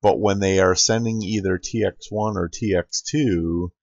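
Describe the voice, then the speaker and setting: A man's voice: low-pitched, steady tone, a little slow, a little mellow, no room sound. A man narrating an informal screen-capture tutorial as an amateur radio operator, recorded close on a dull computer microphone.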